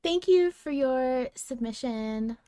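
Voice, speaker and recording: A woman's voice: high voice, lively intonation, slow, dark tone, smooth. A woman co-hosting a podcast in casual chat, speaking emphatically and with strong opinions, swearing freely. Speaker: podcast co-host. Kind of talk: casual fan discussion chat.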